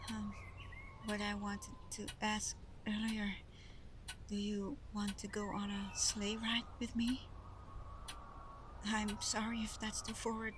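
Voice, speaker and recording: Woman acting a slow, shy, sweet young woman. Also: medium pitch, background noise, natural voice. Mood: shy, hopeful. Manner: hesitant, stammering, gentle, breathy half-whispers. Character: shy, sweet, eager young woman, Santa's adopted daughter. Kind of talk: roleplay audio drama, soft-spoken ASMR, addressing the listener.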